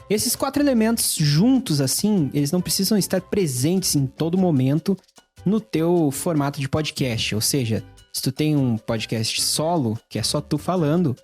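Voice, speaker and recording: Informal Brazilian tutorial host, mid-pitched voice. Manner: informal, conversational. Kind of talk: casual explainer to camera.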